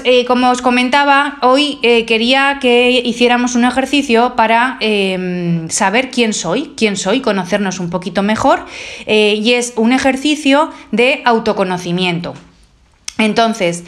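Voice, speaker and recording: A woman from Spain hosting a solo self-help podcast, talking gently and encouragingly to her listeners, with frequent hesitant pauses. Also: fairly high pitch. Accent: Spain Spanish. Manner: hesitant, many drawn-out fillers. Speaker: podcast host.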